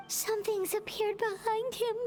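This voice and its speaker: A woman voicing a tiny, excitable childlike fairy companion in a video game, squeaky and exclamatory. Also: moderate pace, music in the background. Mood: alarmed, puzzled. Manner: chirpy, exclamatory, refers to self by name. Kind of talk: scripted video game acting.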